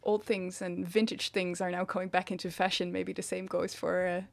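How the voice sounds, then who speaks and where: A woman interviewing a guest in a relaxed chat, friendly and quick to laugh. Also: on the low side, creaky. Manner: chatty, laughs often, many back-channel yeahs.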